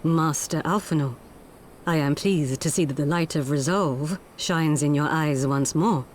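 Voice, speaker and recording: A woman's voice: sits low, unhurried, a little hiss or hum. An actress playing a composed, formal female ninja leader in video game voice acting. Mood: courteous.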